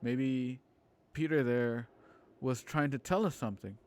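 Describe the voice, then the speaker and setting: Slow, pointed, accusing man played by a man. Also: mid-range voice, a little mellow, a little hiss or hum, close to an everyday voice. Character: suspicious, accusing man confronting a neighbour. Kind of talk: radio play acting.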